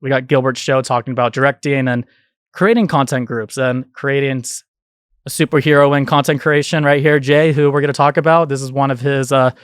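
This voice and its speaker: Give this voice to a man in his twenties hosting a casual podcast. He talks informally and tends to ramble, at an ordinary male pitch. The sound is close and dry. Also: fairly even intonation, brisk, clean tone, no echo. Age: 20s. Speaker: podcast host.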